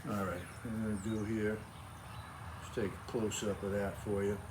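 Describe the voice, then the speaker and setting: A man's voice: fairly low pitch, level tone, normal speed, background noise. A man in his sixties with a Boston accent, chatting casually to camera about grilling in his backyard, in a folksy, friendly way. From Cape Cod, Massachusetts. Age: 60s. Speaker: grilling vlogger.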